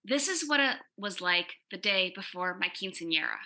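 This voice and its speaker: A woman reading the part of an excitable, nerdy teenage girl in a comic reading, gushing and self-deprecating. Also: medium pitch, normal speed, pitched below the performer's own voice. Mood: confiding, wry. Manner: gushing, chatty teenage exaggeration. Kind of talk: dramatic comic reading, first-person teen narration.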